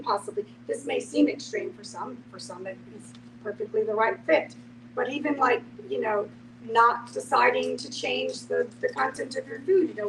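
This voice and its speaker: A woman earnestly explaining to a discussion group in a conversational way, with everyday examples. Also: fairly high pitch. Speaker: end-of-life educator in a group discussion. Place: echoey room, away from the microphone. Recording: roomy, distant microphone, clear background noise.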